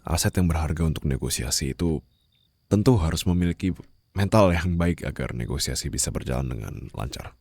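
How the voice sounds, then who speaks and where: A man acting a stern but caring mafia boss in an ASMR roleplay, speaking formally and haltingly into a close microphone. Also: very deep voice, expressive, a little mellow, no echo, full character voice. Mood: serious, measured. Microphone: close studio-style microphone, dry.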